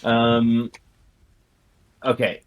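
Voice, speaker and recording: A man's voice: mid-range voice, monotone, extremely slow, smooth. A man casually thinking aloud while playing a tabletop roleplaying game.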